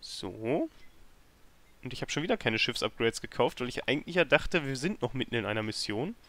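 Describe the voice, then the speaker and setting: A man's voice: medium pitch, lively intonation, brisk, fairly bright tone. A man hosting a let's play with casual, self-deprecating and grumbling commentary into a microphone. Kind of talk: casual video game commentary.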